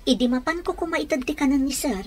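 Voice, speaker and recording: A woman's voice: high-pitched, quick pace, close to an everyday voice. A woman with a Filipino accent playing a dutiful, gossipy senior housemaid in a radio drama. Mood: gossipy, suspicious. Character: gossipy older-sister housemaid, dutiful and wary. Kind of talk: Ilocano radio drama acting.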